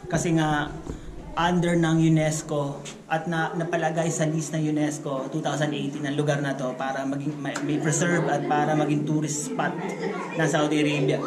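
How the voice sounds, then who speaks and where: A man's voice: a little high, flat delivery, moderate pace, a loud crowd. A young Filipino man vlogging casually as he walks through a cave, talking to his viewers with lots of hesitant fillers. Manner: chatty, addresses viewers as guys, hesitant fillers. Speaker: travel vlogger. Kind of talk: casual walking tour chat. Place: inside a large rock cave, walking. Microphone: action camera held in hand. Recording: echoey cave with ambient noise.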